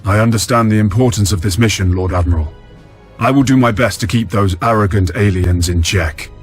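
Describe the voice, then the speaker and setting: An actor playing a proud, resolute space navy admiral in a video game, speaking formally and with firm command. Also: male voice, on the low side, a little fast, husky, faint music behind.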